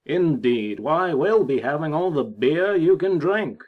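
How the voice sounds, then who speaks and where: A formal, obliging male tenant, played by a man in an audio play. The voice is fairly high and the recording is dull and lo-fi. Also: animated, unhurried. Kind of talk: fan audio drama acting.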